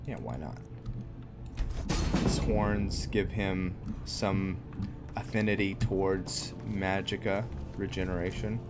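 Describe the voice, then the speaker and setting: A man giving casual game commentary, explaining lore and thinking aloud in a halting way. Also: deep voice, steady tone. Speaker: gamer narrating his roleplay playthrough. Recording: music behind the voice. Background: game music and game characters.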